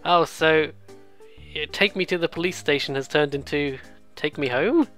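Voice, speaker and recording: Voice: mid-range voice, normal speed, fairly bright tone, soft background music. A let's play host commenting casually on a game, rambling and joking at their own expense while reading bits aloud. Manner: rambling, jokey, self-deprecating.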